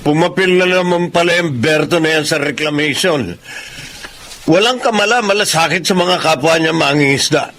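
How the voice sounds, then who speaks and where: A man's voice: fairly high pitch, normal speed, a bit bright, slight background noise. A man with a Filipino accent playing a blunt, combative, indignant fisherman in a radio drama. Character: hot-tempered, indignant male fisherman.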